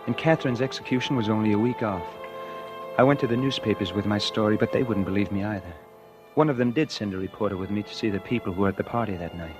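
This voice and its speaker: A man's voice: fairly low pitch, quick pace, natural voice. An actor playing a guilt-ridden murderer who narrates his own downfall in a 1940s radio drama. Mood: despairing. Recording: 1949 radio recording with music.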